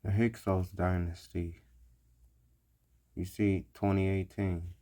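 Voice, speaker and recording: A man slowly talking through pictures on screen, repeating his points deliberately. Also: low-pitched, flat delivery, dark tone. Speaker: commentator. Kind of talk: explanatory commentary over images.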